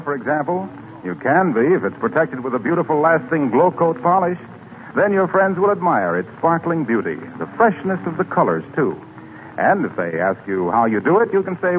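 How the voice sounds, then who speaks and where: An American man working as a 1940s radio announcer, reading in a polished, salesmanlike style. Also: medium pitch. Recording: tinny 1940s radio broadcast recording.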